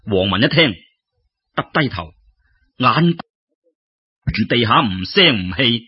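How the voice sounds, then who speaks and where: A man telling folk tales as an expressive storyteller, in a conversational way that addresses listeners directly. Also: medium pitch, slow, slightly rough, dull audio.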